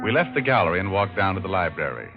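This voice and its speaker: Sardonic gumshoe, low voice, deadpan wisecracks, 1940s radio noir, muffled old recording. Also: male voice, fast, over loud music. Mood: dry narration. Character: wry, wisecracking hard-boiled male private detective.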